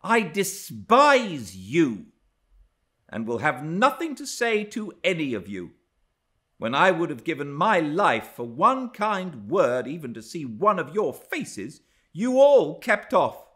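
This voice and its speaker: A man's voice: fairly high pitch, huge pitch swings, takes it slowly, a bit bright, pitched above the performer's own voice, full character voice. A man voicing a proud, scornful young lady in an audiobook, with a biting, indignant manner. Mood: bitter disdain. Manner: haughty, disgusted, biting. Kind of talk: dramatised audiobook reading of a classic novel.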